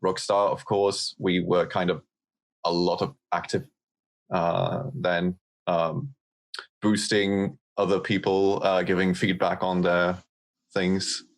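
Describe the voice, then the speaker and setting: Deep, slow-talking man with non-American accent. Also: little pitch movement. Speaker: podcast guest. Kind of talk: casual chat, recounting.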